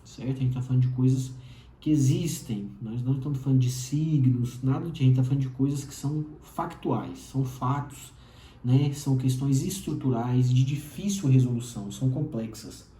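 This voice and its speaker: A man's voice: medium pitch, normal speed, warm tone. A man in his thirties with a Brazilian accent, giving a lecturing solo commentary full of tag questions and fillers. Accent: Brazilian Portuguese. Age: 30s. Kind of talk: solo explainer monologue. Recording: roomy echo.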